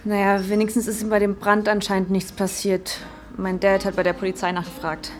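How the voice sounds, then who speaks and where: A woman's voice: medium pitch, completely flat delivery, fairly quick, soft background music, lightly acted. A woman playing a subdued, worried seventeen-year-old girl in a naturalistic radio drama, talking in casual youth slang. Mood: distracted, worried.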